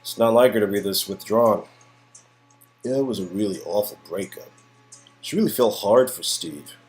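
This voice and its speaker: A man's voice: fairly low pitch, moderate pace, a little mellow, faint music behind, lightly acted. A man playing skeptical, sardonic friends in an amateur reading of game dialogue, exclaiming and sometimes hesitant. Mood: concerned.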